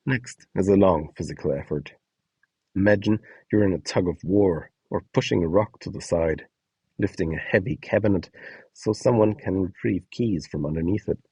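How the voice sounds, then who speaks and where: Low, gravelly man giving instructions. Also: moderate pace, mellow, no echo, rough recording. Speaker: presenter of a voice donation guide. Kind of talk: instructional narration.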